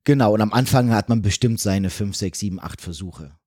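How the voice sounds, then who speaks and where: A man's voice: lowish voice, a little fast, darkish tone, husky, dry recording, clean studio sound. A man with a German accent speaking casually as a podcast guest and voice actor, explaining his work with emphatic repetitions. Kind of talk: casual conversational explanation of the craft.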